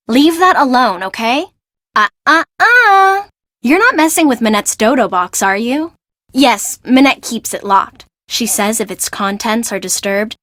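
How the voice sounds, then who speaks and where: A woman voicing an exasperated, bossy young assistant to an eccentric fashion designer in a video game, brisk, expressive and sarcastic. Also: fairly high pitch, no room sound, studio quality.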